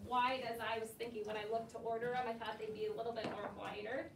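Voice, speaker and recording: Female primary teacher, high voice, questioning and echoing pupils' answers; distant mic in a noisy room. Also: level tone, quick pace. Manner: instructive, often repeating pupils' answers. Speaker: elementary school teacher. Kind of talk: classroom read-aloud discussion with questions to children. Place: indoor school classroom.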